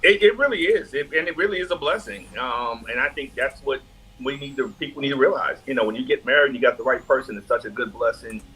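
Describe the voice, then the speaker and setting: A man co-hosting a relationship talk show, affirming and warm in his words, with repeated restarts. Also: mid-range voice, quick pace, low-quality recording. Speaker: co-host.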